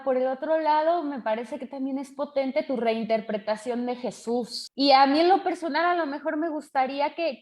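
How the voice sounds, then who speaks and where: A woman hosting a podcast interview, giving thoughtful, measured reflections to her guest. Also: a little high, darkish tone. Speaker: podcast host. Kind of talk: casual interview conversation.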